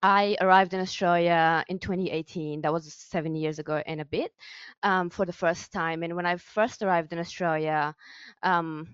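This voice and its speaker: A young woman from Afghanistan speaks candidly and earnestly at an event, her voice smooth and pitched a little low. Frequent ums break up her speech. The audio is dry and a touch dull. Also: fairly even intonation, moderate pace, dry recording. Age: young adult. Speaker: youth advisory council speaker. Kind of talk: personal speech at a public event. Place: event venue with a podium.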